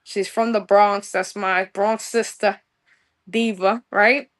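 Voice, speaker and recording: A woman's voice: mid-range voice, fairly even intonation, slow. A bubbly young woman with a New York accent, chatting in a podcast interview. She gushes freely and drops plenty of street slang. Her voice sits in an ordinary middle register for a woman. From New York, USA. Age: young adult. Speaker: guest musician.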